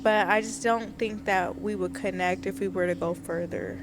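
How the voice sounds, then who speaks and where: A woman contestant politely softening a rejection with compliments. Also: mid-range voice, steady tone, normal speed, noisy background. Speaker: show contestant. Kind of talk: candid explanation.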